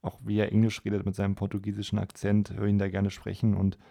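Man, mellow husky voice, creaky, casual football podcast chat, hesitant phrasing. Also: fairly low pitch, completely flat delivery, fast, no room sound, clean studio sound. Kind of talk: casual conversational football discussion.

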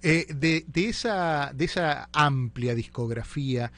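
A man's voice: on the high side, slow, fairly bright tone. A man, interviewing and prompting with short affirmations.

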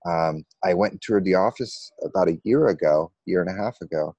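A middle-aged man with an American accent, telling his personal story conversationally with a halting, pause-filled delivery. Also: deep voice, darkish tone, dry recording, highs cut off. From United States. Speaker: interview guest, meditation coach.

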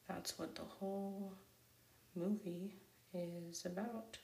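A woman's voice: on the low side, steady tone, slow, creaky, warm tone, roomy sound, slight background noise. A woman whispering the lines of a smug male activist who makes documentaries, in a cartoon story. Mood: snide.

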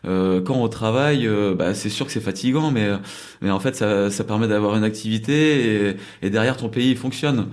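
A man's voice: fairly low pitch, normal speed, darkish tone. A French man telling stories casually in an interview, rambling, with many fillers and restarts. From France. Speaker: crêperie owner in South Korea.

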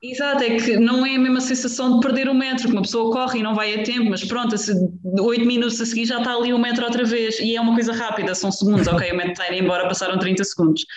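A woman's voice: fairly high pitch, steady tone, rapid-fire, reverberant, rough recording. A woman journalist with a European Portuguese accent, chatting in a radio interview, rambling and hesitant with many restarts. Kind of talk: casual radio interview chat.

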